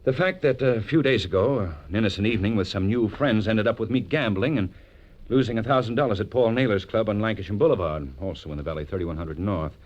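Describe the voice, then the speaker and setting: A man's voice: on the low side, fast, darkish tone, lo-fi sound, natural voice. An actor playing an anxious, ambitious businessman in his thirties, hesitant and urgent, in a 1940s radio drama. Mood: tense, urgent. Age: 30s. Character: anxious, ambitious young businessman in a blackmail jam.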